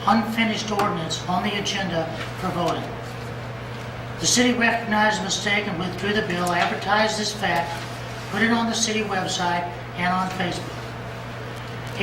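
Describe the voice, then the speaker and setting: Hoarse man, stern formal public statement, distant echoey chamber mic with noise. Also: high-pitched, normal speed. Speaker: mayor chairing a city board meeting. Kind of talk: reading a prepared statement at a public meeting. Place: council chamber.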